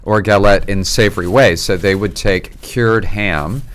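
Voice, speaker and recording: A man's voice: low voice, a little slow, a bit bright, a little hiss or hum. A man, a priest hosting a podcast, chatting casually over food, explaining dishes with opinionated relish. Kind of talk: casual chat while tasting food.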